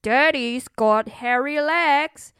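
Woman as a high, emphatic storybook queen. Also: slow, a bit bright, dry recording, clean studio sound. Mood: reasoning. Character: brisk, motherly storybook queen.

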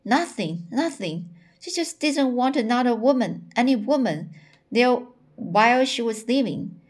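A woman acts out a long-suffering middle-aged suitor from a storybook. The pleading is anguished and halting, delivered slowly. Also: on the high side, expressive, voice pushed up. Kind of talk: storybook reading.

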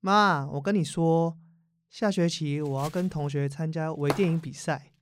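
A woman's voice: low voice, normal speed, noisy background, lightly acted. A woman with a Taiwanese Mandarin accent playing an anxious, easily flustered college student in an everyday radio drama. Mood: hesitant.

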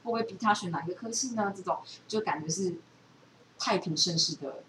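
A woman with a Taiwanese Mandarin accent speaks quickly in an echoey room, a little away from the mic. She chats casually and rambles as she retells stories, her voice on the low side. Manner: rambling, loosely strung storytelling. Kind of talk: casual chat, retelling a book. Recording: echoey room, distant mic, background noise.

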